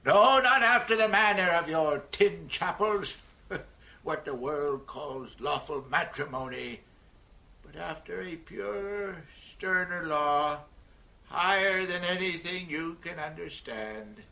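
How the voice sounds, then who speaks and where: High, bright, grandiose cult prophet. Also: male voice, gravelly, full character voice. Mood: lofty, scornful. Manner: declamatory, pompous, deliberate. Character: grandiose, intense male cult prophet, lofty and scornful. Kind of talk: radio drama acting.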